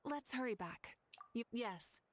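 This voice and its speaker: A woman's voice: a little high, animated, slow pace. A woman voicing a confident, kindly female warrior leader in a video game, composed, assured and encouraging. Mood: reassuring. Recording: soft game music behind.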